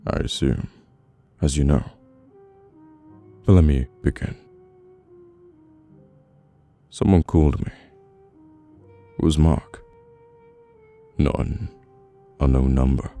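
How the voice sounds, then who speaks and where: An extremely deep, gravelly British mafia boss, acted by a man for an audio roleplay. He is cold, dominant and menacing, giving orders slowly with long deliberate pauses. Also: mellow, full character voice. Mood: cold, controlled. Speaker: voice actor. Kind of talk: ASMR audio roleplay, slow dramatic monologue.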